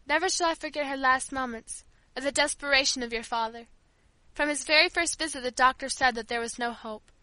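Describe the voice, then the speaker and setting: A woman's voice: high-pitched, brisk, bright, forceful tone, dry recording. A woman voicing a kindly, grieving old female servant who tells her tale tenderly in an audiobook. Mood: sorrowful, tender. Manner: tender, fond, rambling storyteller. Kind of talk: audiobook character dialogue.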